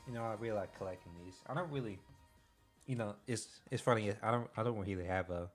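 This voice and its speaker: A young man streaming, chatting casually in a soft-spoken, hesitant way with many pauses and restarts. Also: lowish voice, faint music behind. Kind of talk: casual livestream chat.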